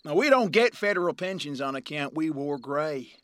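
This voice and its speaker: A brash, slippery old West outlaw and former Confederate soldier, acted by a man in an audio play. His voice sits fairly high and cuts sharply, and he rambles on in an excitable, folksy way. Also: moderate pace, dry recording. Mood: cocky. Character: brash, foul-mouthed Confederate veteran turned robber, slippery. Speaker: actor in an audio drama. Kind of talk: Western audio drama acting.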